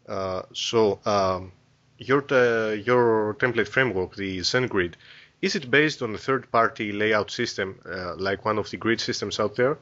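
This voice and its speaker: Low-voiced male podcast host, halting. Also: a bit bright. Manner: halting, frequent uh fillers. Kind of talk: casual interview questions. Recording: dull lo-fi audio.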